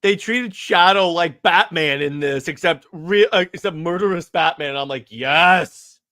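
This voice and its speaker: A man with a high, bright voice talks casually as a podcast co-host. He sounds like an enthusiastic fan, readily agreeing and doubling back on his phrases. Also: unhurried.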